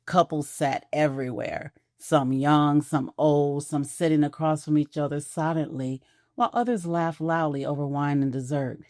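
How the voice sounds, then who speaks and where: Low, mellow woman narrating romantic drama. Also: steady tone, normal speed, dry recording, clean studio sound.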